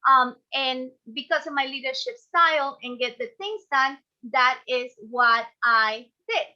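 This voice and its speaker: Bright, high-voiced Ecuadorian-accented woman presenting. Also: unhurried. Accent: Ecuadorian Spanish. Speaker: speaker, business coach and class host. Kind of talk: motivational online class presentation. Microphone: video call. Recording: dull, lo-fi online call audio.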